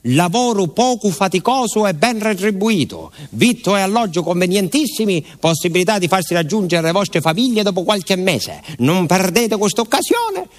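An actor voicing a village crier grandly reading out an advertisement in a declamatory radio theatre style. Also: male voice, high voice, voice pushed up.

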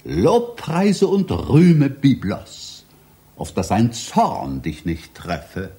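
An actor in a satirical radio drama playing a fanatical librarian priest of a book cult, preaching in solemn, archaic incantations. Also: male voice, mid-range voice, huge pitch swings, extremely slow, dark tone, full character voice. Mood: commanding.

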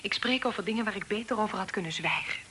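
An actress playing a proud, guarded young daughter of a fugitive in a period radio drama, speaking formally with spirit. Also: female voice, mid-range voice, quick pace, natural voice. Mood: subdued, guarded. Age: young adult. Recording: noisy old radio drama recording.

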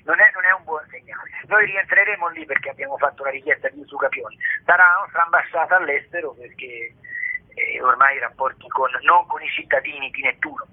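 Middle-aged or older man, combative ironic interviewee, raspy voice over a narrow telephone connection. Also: fairly high pitch, fairly quick. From Italy. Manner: polemical, ironic, emphatic. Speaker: theatre artist being interviewed. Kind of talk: radio interview. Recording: narrow tinny phone line.

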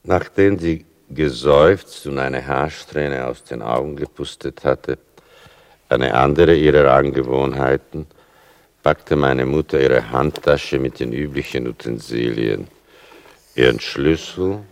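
An older man acting in a radio play as a writer son who narrates his mother's story, wry and deliberate, dwelling on small details, with a gravelly voice. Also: very deep voice, dry recording, exaggerated voice. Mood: measured.

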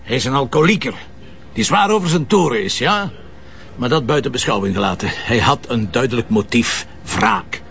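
A man's voice: mid-range voice, expressive, normal speed, creaky, fairly bright tone, muffled recording. A man with a Flemish accent playing a headstrong, impatient police commissioner in a TV crime drama, speaking bluntly and emphatically. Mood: insistent. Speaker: lead actor in a police series. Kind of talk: naturalistic TV crime drama acting.

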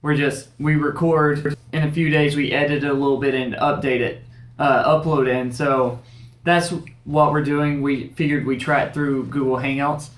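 Young Kentucky man co-hosting a podcast, rambling and halting, slightly high voice, echoey room. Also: flat delivery. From Kentucky, USA. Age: young adult. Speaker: podcast co-host. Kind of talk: casual chat.

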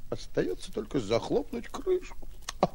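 An actor playing a pompous, excitable braggart hero in a theatrical, declamatory 1940s radio style, full of exclamations and sighs. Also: male voice, sits high, moderate pace, creaky, full character voice. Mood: fretful, wistful. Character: pompous, excitable male would-be hero, comically torn braggart. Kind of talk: 1940s radio play acting. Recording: noisy 1940s radio recording.

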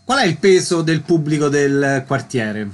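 A man's voice: on the high side, expressive, a little slow. A man hosting a radio interview, with concise, formal, scripted questions and introductions.

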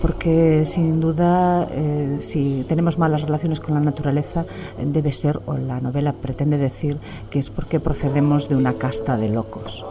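Writer, middle-aged woman, low voice, calm and reflective, music playing softly underneath. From Galicia. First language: Spanish. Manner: measured, reflective, many "eh" pauses. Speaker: novelist, interviewee. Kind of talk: thoughtful interview answers.

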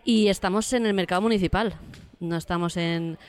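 A woman with a Valencian Spanish accent co-hosts a radio show. Her voice is smooth and a little low, and she interviews in a conversational style. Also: moderate pace. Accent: Valencia region, Spain.

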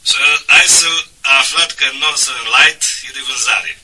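Bright, distant secretary on the telephone. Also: male voice, mid-range voice. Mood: persuasive. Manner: polite, formal, suave. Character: polished, persuasive male private secretary to a magnate. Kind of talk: radio drama acting.

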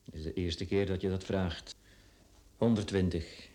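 A bitter Flemish bookkeeper in a radio drama, underpaid and long wronged. His voice is fairly low, and he speaks with naive, aggrieved earnestness. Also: steady tone, a little slow, dark tone. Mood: shaken, doubtful. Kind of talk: radio play acting.

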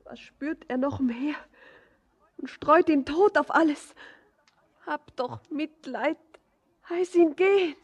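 An actress playing a devoted, anxious young woman in a poetic radio drama, breathy and tenderly imploring. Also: sits high, slow, long pauses. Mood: frightened, imploring. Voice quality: breathy, half-whispered.

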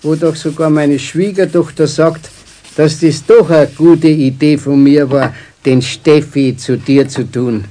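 A man's voice: fairly high pitch, steady tone, a little slow, warm tone, close to an everyday voice. A man playing a genial, proud old grandfather with a Bavarian accent in a children's radio play, chatty and jovial in a colloquial way. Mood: pleased.

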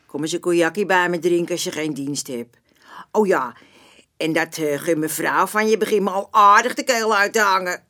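An actress playing a feisty, warm-hearted neighbourhood auntie in a Dutch radio play, with a familiar, bantering manner. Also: female voice, fairly low pitch, moderate pace.

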